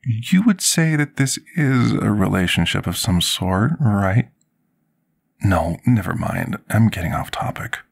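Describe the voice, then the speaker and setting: A man playing a devoted, apologetic potion master in a fantasy ASMR roleplay, speaking in a formal, measured, deferential way with a husky, gravelly voice. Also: low-pitched, exaggerated voice. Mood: hesitant, caring. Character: devoted, apologetic male potion master tending a dragon patient.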